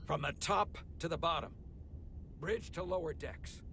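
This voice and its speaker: An actor playing a stern, authoritative starship captain with bruised pride in a sci-fi game. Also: male voice, on the high side, measured pace, noisy background, natural voice. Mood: angry. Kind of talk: cinematic sci-fi game acting. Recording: dramatic music underneath.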